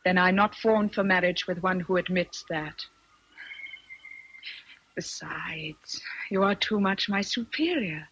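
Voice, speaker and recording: An actress with an English accent playing a passionate, independent young Victorian governess in a radio drama, earnest and emotional. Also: female voice, fairly low pitch, slow pace, pauses often, fairly bright tone, slightly rough, exaggerated voice, rough recording. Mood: firm, pained. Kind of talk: BBC radio drama acting.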